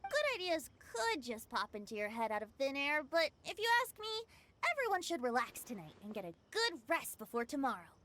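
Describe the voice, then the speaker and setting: A woman's voice: sits high, very animated, brisk, fairly bright tone. A woman playing a youthful, carefree male bard in an anime game, with a lighthearted, lyrical, teasing manner. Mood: teasing, easygoing.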